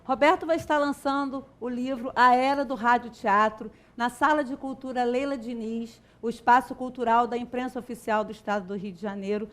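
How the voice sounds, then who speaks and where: Female talk show host, Brazilian, voice on the higher side, conducting a live interview. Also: fairly even intonation, a little fast, hardly any pauses, fairly bright tone.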